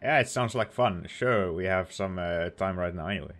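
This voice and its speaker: A woman's voice: extremely low pitch, normal speed. A woman doing casual let's play commentary in a laid-back, slightly hesitant way. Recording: game music faintly behind.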